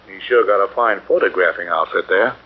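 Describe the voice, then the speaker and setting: An actor playing a relaxed American traveler in a 1940s radio drama, casual and chatty. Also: male voice, deep voice, brisk. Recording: muffled 1940s radio broadcast.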